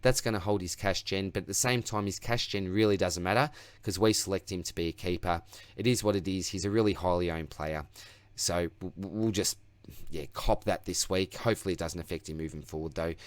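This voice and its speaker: A man's voice: low-pitched, fairly quick, no room sound. An Australian man hosting his own sports channel, reviewing his fantasy team in a chatty, rambling, self-mocking way, his voice tiring. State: voice getting tired. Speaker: fantasy football channel host. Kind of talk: casual solo sports review.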